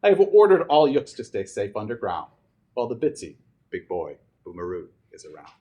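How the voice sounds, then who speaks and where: A man's voice: mid-range voice, wildly swooping pitch, brisk, dark tone, pitched below the performer's own voice. A man voicing a pompous, reassuring chief who grandly gives orders in a children's storybook. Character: pompous, reassuring male chief sending a soldier to war. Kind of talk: children's storybook read aloud.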